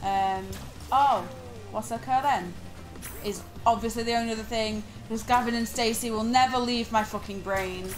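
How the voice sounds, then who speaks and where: A woman with an East Manchester accent, speaking in a slightly raised voice, talks in a loose, teasing way and swears freely as she plays a game on stream. Quiet game music runs underneath her voice. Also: on the high side, normal speed, poor audio. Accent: northern English, East Manchester. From northern England. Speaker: streamer co-host. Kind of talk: casual chat while streaming a game.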